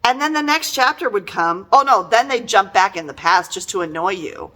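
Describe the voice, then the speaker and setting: A middle-aged woman giving a casual, conversational book review in an animated, exasperated and emphatic manner. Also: mid-range voice, moderate pace, low-quality recording.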